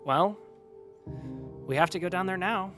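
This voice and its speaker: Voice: mid-range voice, unhurried, fairly bright tone, soft background music, natural voice. An actor playing a concerned, curious friend in an audio drama, with a casual, questioning manner. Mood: curious, urgent.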